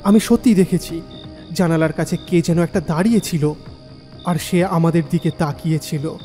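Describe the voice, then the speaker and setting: A man's voice: fairly high pitch, steady tone, normal speed, mellow. A man narrating a horror story dramatically in the first person. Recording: long reverb, faint music.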